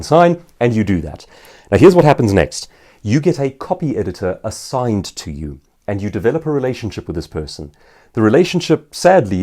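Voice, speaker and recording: A man's voice: fairly low pitch, warm tone. A man giving an informal instructional talk as an author and speaker, articulate and emphatic in his phrasing. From South Africa. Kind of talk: informal instructional talk answering viewer questions.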